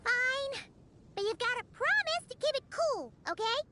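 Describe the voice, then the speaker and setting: A woman voicing a tiny, excitable, childish floating fairy companion in a video game, given to exclaiming. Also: very high voice, normal speed, background noise. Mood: cautious. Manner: speaks of herself in third person, exclaims. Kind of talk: video game character acting.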